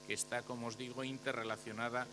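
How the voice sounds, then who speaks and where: A man's voice: mid-range voice, flat delivery, quick pace, noisy background. A man moderating a conference session, introducing the next speaker in a loose, hesitant way with frequent fillers.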